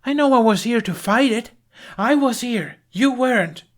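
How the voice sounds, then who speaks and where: A man voicing an angry young Viking warrior woman in an audiobook, forceful and accusing. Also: very high-pitched, expressive, moderate pace, pitched above the performer's own voice. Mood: indignant. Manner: indignant, forceful, shouting accusations.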